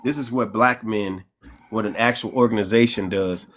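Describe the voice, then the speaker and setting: A man talking on a livestream, eager and a bit halting, with fillers while he sets up a clip. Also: lowish voice, steady tone, a bit bright, a little hiss or hum. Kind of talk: casual livestream talk.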